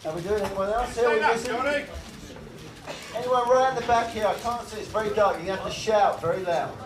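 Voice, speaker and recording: A man moderating a press conference, briskly calling on reporters with a joking manner. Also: sits high. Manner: brisk, jokey, calling on questioners. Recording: echoey hall, distant mic, crowd.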